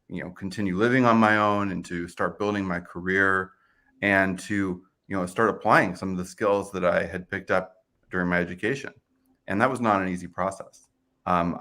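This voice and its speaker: A man's voice: low-pitched, a bit bright. A man in his thirties, a company finance chief, speaking earnestly as a guest on a video call interview. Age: 30s.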